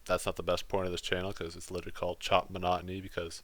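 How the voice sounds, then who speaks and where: Male gaming host, low and monotone, bright and dry, rambling casual voice-over. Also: very fast, no echo. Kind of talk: let's play commentary voice-over.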